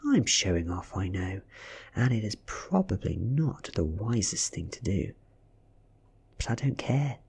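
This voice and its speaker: A British man reads a story aloud as soft-spoken ASMR. His voice is very husky and breathy, often close to a whisper. He takes his time, with lively rises and falls in pitch. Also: unhurried. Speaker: audiobook reader.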